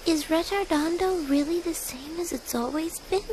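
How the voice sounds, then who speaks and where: A woman voicing a gentle, wistful young girl in a video game cutscene. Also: very high voice, moderate pace, slight background noise, poor audio. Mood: wistful, questioning.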